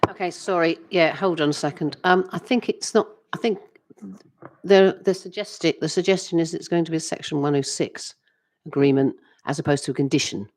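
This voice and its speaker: A British woman with a low voice chairs a council meeting. She keeps things moving in short, practical remarks. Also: darkish tone, slight background noise. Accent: British English. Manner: brisk, managing the discussion.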